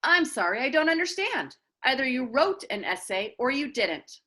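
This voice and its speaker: A woman voicing a stern, exacting female homeroom teacher who speaks formally and crisply in a storybook. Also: high voice, sing-song, normal speed, sharp tone, heavily acted.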